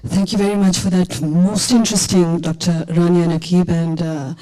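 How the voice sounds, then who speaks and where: A woman's voice: fairly low pitch, level tone, normal speed, dark tone, low-quality recording. A woman in the audience at a forum who thanks the speakers and asks a polite, hesitant question from the floor. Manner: polite, hesitant, many fillers. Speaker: audience member asking a question. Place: conference hall.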